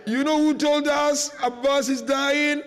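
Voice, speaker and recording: A man's very high-pitched voice delivers a religious mourning sermon. He tells the story very slowly, drawing out his phrases with heavy grief. The delivery is impassioned and tearful, close to breaking. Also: fairly even intonation, faint music behind. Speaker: preacher reciting a religious lament.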